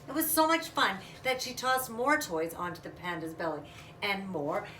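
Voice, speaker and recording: A woman's voice: mid-range voice, moderate pace, bright, forceful tone. A woman reading a children's book aloud expressively, adding casual asides as storyteller. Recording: roomy, distant mic, faint noise.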